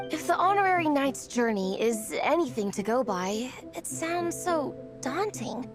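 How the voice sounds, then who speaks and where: An earnest young athlete, wide-eyed in awe yet doubtful of herself. She speaks high and unhurried. Game music is clearly heard behind her. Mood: wistful. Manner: eager yet unsure, breathless with awe. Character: earnest, starstruck young woman athlete in training. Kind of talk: video game voice acting.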